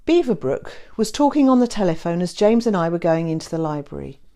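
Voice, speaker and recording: A woman's voice: on the low side, very animated, brisk, mellow. A woman reading scripted first-person romance narration as an audiobook narrator.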